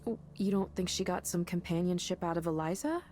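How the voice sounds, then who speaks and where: An actress as a gentle, low-voiced supportive woman. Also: fairly quick, a little hiss or hum, lightly acted. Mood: gently supportive. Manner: gentle, reassuring. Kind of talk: visual novel game voice acting.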